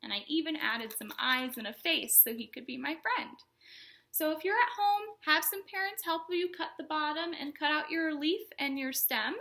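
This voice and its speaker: A woman hosting a storytime for young children, speaking in simple explanatory phrases with an animated, sing-song delivery. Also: high voice, moderate pace. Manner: animated, exaggerated questions and exclamations for young children. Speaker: children's librarian and storytime host.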